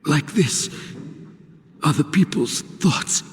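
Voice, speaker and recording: Game villain: dying psychic, eerie, bitter, breathy, very slow and halting, drenched in cavernous reverb. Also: male voice, fairly high pitch, lively intonation, fairly bright tone. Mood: fading, wistful. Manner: slow, theatrical, halting delivery. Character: dying masked male psychic villain, bitter, nihilistic, eerily calm. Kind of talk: dramatic video game voice acting.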